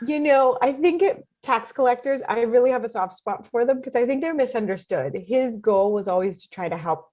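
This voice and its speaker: A woman's voice: fairly high pitch, a little mellow. An American woman in her thirties or forties, chatting informally as an interview guest on a video call, telling personal stories. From Pittsburgh, United States. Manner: chatty, informal, quick to joke. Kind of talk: casual personal storytelling.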